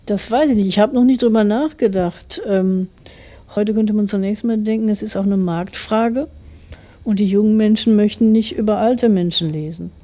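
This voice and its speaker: A forty-year-old woman speaks as an author being interviewed. Her voice is dark and relaxed at an average pitch. She explains her thinking carefully and reflectively. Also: normal speed. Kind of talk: thoughtful interview answers.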